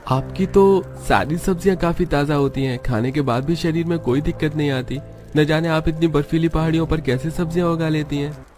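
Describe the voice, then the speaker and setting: A man's voice: a little high, steady tone, fairly quick, a little mellow, lightly acted. A man playing a curious, jovial customer at a market in a moral-story cartoon. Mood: admiring. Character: chatty middle-class male market customer, curious and jovial. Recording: music behind the voice.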